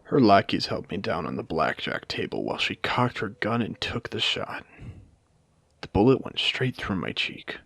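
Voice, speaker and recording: Casting: male actor as world-weary AI casino owner for audio drama, genteel and theatrical, low voice with swooping, expressive intonation. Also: gravelly, no room sound, exaggerated voice. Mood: bitter, recounting. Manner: measured, genteel, theatrical, with pointed pauses. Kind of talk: intimate scripted audio roleplay addressed to the listener.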